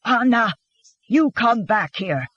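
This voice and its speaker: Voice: medium pitch, animated, moderate pace, husky, no room sound, highs cut off. A narrator voicing an angry, stubborn Swedish husband. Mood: furious shouting. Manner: shouting, sarcastic. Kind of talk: dramatised audiobook dialogue.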